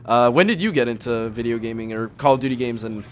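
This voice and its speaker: Smooth-voiced young man chatting casually. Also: mid-range voice, quick pace. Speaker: host of a gaming video series. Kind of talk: casual chat over gameplay.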